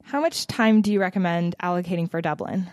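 A woman's voice: lowish voice, brisk, darkish tone, no echo, clean studio sound. A woman hosting an interview podcast, upbeat and encouraging, asking her guest questions. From Seattle. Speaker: podcast host.

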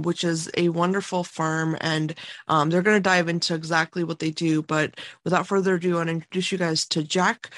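A woman's voice: fairly low pitch, dry recording. A woman hosting a podcast interview in an informal, effusive way. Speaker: podcast host.

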